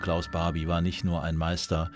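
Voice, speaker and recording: A man giving expert commentary in a documentary interview, explaining in measured, broken-up phrases. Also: low voice, completely flat delivery, over music.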